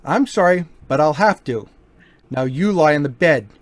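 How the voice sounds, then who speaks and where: An actor in an amateur old-time radio play, voicing a smooth, mocking gentleman burglar with a lively, swinging delivery. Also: a little high, normal speed, lightly acted. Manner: smooth, mocking, turns menacing. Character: suave, cocky male gentleman burglar.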